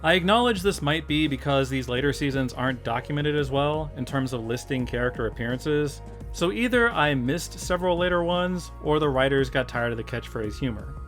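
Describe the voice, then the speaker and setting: A man's voice: a little high, animated, brisk, fairly bright tone. A man hosting a video essay, narrating in a casual, jokey, emphatic way with mock exasperation. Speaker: video host, YouTuber. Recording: music behind the voice. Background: background music.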